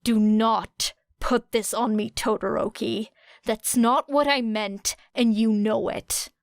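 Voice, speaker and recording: A woman voicing a fierce, blunt teenage girl with a sharp, growling delivery in an audiobook. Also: on the high side, fairly even intonation, slow pace, gravelly, no echo, clean studio sound. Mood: furious.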